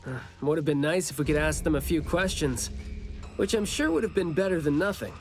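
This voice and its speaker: An actor playing a chatty, teasing, curious young investigator in a game cutscene, with a breezy, wry manner. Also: male voice, on the high side, lively intonation, brisk. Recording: music behind the voice.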